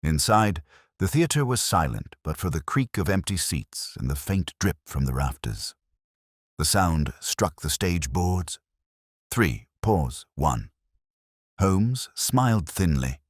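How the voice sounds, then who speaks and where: An extremely low-pitched man narrates scripted mystery fiction in a measured, dramatic manner. His intonation moves expressively, and the sound is close and dry. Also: animated, no room sound. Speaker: narrator of detective audio fiction. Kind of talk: scripted first-person mystery narration, audiobook reading.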